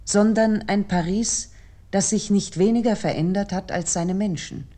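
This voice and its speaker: A woman reading scripted literary commentary for radio in a measured, essayistic manner. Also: mid-range voice, mellow. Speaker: commentator narrator.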